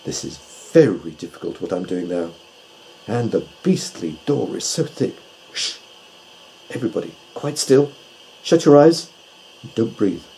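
A man's voice: fairly low pitch, very animated, unhurried, pauses often, dark tone, slight background noise, low-quality recording. A man voicing an exacting, haughty owl in a children's story, speaking precisely and emphatically. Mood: bossy, fussy. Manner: emphatic, precise, self-assured. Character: male owl with keen hearing, exacting and haughty.

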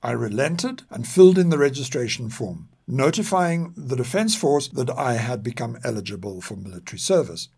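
Documentary narrator: older male, measured and serious, mid-pitched with an expressive rise and fall. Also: gravelly, husky. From South Africa. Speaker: narrator and podcast author. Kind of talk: scripted historical narration.

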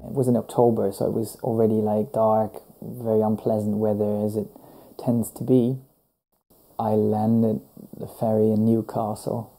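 Very dark-toned man telling wry anecdotes. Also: on the low side, level tone, normal speed, creaky, rough recording. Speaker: documentary interviewee.

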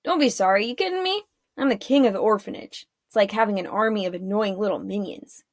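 A woman's voice: medium pitch, fairly quick, no room sound, clean studio sound, lightly acted. A woman voicing a cheeky orphan boy in a storybook, earnest and defensive with a teasing bravado.

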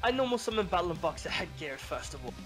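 A man's voice: high voice, very animated, quick pace, fairly bright tone, faint music behind. A young man talking casually in character as he announces his moves in a card game roleplay. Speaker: opposing player in a card duel roleplay. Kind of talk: casual in-character gameplay talk.

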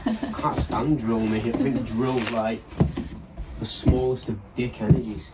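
A man's voice: mid-range voice, fairly even intonation, a little slow. A young man with a British accent bantering casually in a slangy, teasing way at home. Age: young adult. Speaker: vlogger's partner, father. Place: bedroom at home. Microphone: camera across the room. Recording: distant mic, noisy room.

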